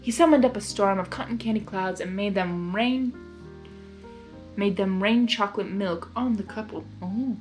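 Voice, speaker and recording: A chatty, expressive woman narrating fan fiction as host and adding her own spontaneous reactions. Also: medium pitch, normal speed, soft background music. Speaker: story reader and host.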